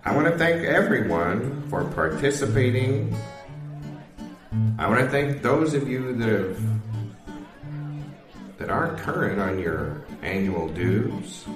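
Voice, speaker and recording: Man playing a slow, gushing dance-night MC. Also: mid-range voice. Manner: effusive, formally polite announcer with drawn-out emphasis. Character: gushing, courteous male MC at a community dance night. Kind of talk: improvised comedy sketch. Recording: roomy sound with guitar music behind.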